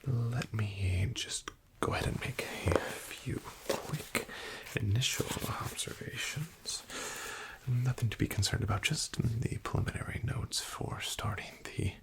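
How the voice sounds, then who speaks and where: A man whispering softly as a polite, hesitant doctor in an ASMR roleplay, with a wry bedside manner. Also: medium pitch, a little slow. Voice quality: whispered, gravelly. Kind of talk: soft-spoken ASMR roleplay.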